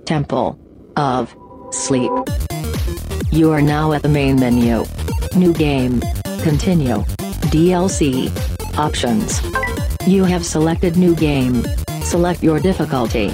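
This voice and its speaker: A woman voicing a calm, detached video game menu system in measured, clipped announcements. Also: low-pitched. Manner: measured announcer-like delivery, clipped short phrases. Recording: electronic music behind the voice.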